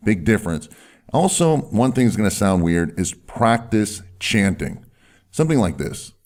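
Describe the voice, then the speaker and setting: A man with a low voice that swings widely in pitch gives an instructional talk as a doctor hosting a health video. He leans on key words, slowing down and sinking his voice for effect. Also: sing-song, a little mellow. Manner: emphatic, slows down and drops low on key words.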